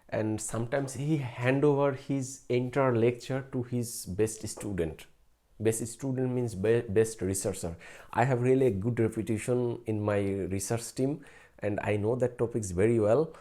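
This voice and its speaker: A man's voice: medium pitch, dark tone. A young man from Bangladesh, a native Bengali speaker with a Bangladeshi accent, chatting casually on a live stream and rambling with fillers and self-corrections. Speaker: researcher doing a live stream.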